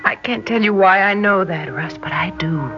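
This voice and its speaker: An actress in a 1960s radio drama playing a gentle, dreamy young woman, speaking softly and hesitantly. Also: mid-range voice, moderate pace, soft background music, close to an everyday voice. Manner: soft, wistful, hesitant. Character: gentle, dreamy 23-year-old woman awaiting true love. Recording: muffled, hissy old radio recording.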